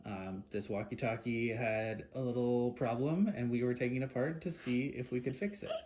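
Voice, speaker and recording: A man's voice: medium pitch, normal speed, a little mellow. A man casually explaining a hands-on task to a child, patiently prompting with questions. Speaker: parent presenting a homemade kids' take-apart video. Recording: clear background noise, handling clicks.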